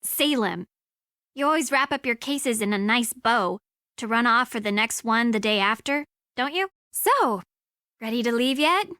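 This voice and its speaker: An actress playing a sharp-tongued, indignant young woman in a video game, with a biting, accusatory manner. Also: on the high side, animated, moderate pace, no room sound, studio quality. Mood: bitter, scornful.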